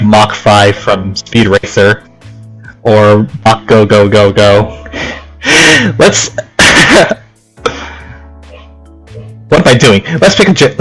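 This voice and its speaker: A man's voice: medium pitch, a bit bright, low-quality recording. A man from Okinawa doing casual game commentary and reading on-screen text, in a rambling, self-deprecating, giggly manner. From Okinawa, Japan. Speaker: let's play host. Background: game music.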